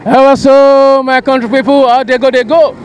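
A young man with a Nigerian Pidgin accent interviewing passersby on a busy street, lively and coaxing, on a handheld mic. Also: very high voice, moderate pace. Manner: lively, coaxing, hypes the viewers. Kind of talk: street vox pop in pidgin. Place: busy outdoor street market.

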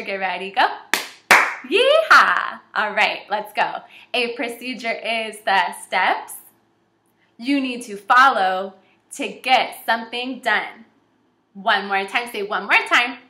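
A woman in her twenties reading aloud and teaching young children as a kindergarten teacher, in an encouraging, animated way. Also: fairly high pitch. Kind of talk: children's read-aloud lesson. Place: small indoor room with shelves and whiteboard. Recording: echoey room.

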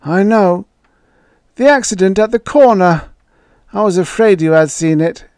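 Frail old inventor, terrified and pleading, thin high quavering voice, put on by a male reader; dry close sound. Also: measured pace, darkish tone, smooth, no echo. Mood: fearful pleading.

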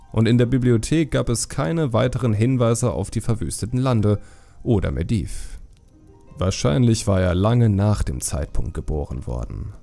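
A man narrating a fantasy audiobook in a measured, expressive storytelling manner. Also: fairly low pitch, creaky.

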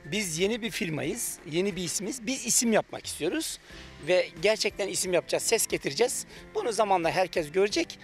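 A middle-aged man from Turkey giving an on-camera interview outdoors by a beach as a cafe manager, speaking into a handheld mic with long drawn-out fillers and confident claims. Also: high-pitched, animated, faint music behind. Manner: hesitant, many drawn-out fillers, self-assured claims. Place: outdoors by a beach under trees. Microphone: handheld reporter mic.

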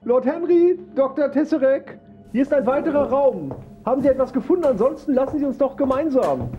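A man voicing an excitable, formal gentleman investigator in an improvised horror audio drama, polite and theatrical. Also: very high-pitched, a little fast, highs cut off, soft background music. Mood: eager, insistent.

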